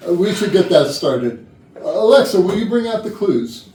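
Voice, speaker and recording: An actor playing a flustered, officious boss at an office Christmas party in a staged comedy reading. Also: male voice, high voice, sing-song, moderate pace, a little mellow. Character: flustered man boss hosting office Christmas party, trying to keep order. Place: indoor rehearsal room, mic at a distance. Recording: roomy distant rehearsal recording, faint noise.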